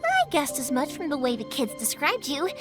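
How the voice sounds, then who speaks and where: An actress voicing a cheerful, spirited young woman who makes fireworks, talking in a lively, chatty, big-sisterly way. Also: high-pitched, normal speed. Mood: earnest. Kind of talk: game voice acting. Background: game music.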